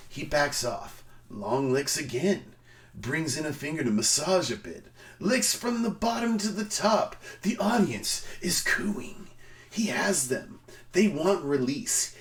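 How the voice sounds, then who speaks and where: A man giving a dramatic reading on a stream, theatrical in delivery, swinging between emphatic outbursts and hushed, drawn-out phrases. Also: on the high side, distant mic. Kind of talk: dramatic reading of a written review.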